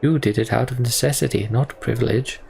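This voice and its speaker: Young fantasy-game prince, played by a man: candid, disillusioned, reflective, creaky voice at middling pitch. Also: steady tone, a little fast, a little mellow, a little hiss or hum, close to an everyday voice. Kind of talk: voiced game dialogue reading.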